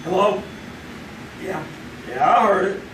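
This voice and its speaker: A man's voice: sits high, animated, takes it slowly. A man playing a flustered 1950s husband. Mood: startled. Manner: fumbling, stammering when startled. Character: flustered 1950s small-town husband, Mike. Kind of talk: live old-time radio drama acting. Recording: roomy live stage, distant mic, noisy.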